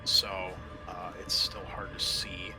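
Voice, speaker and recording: A man narrating a tabletop roleplaying game as game master, explaining things in a halting, improvised way. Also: low-pitched, flat delivery, music in the background, poor audio.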